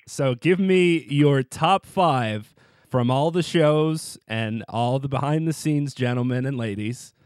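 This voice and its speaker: A man's voice: medium pitch, dry recording. Man reading interview questions. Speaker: podcast host.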